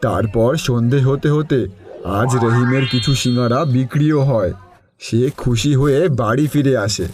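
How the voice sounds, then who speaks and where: A man's voice: mid-range voice, unhurried, a crowd in the background. A man narrating a scripted cartoon story for viewers.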